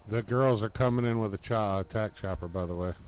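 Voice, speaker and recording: A man's voice: on the low side, a little fast, a little mellow, lo-fi sound. A man chatting casually with other players during an online video game over voice chat.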